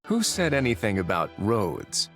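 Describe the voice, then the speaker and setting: An actor playing a calm, teasing prison warden with a dry manner. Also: male voice, lowish voice, a little slow, full character voice. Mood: wry. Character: calm prison warden man, wry and composed. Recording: game audio with music behind.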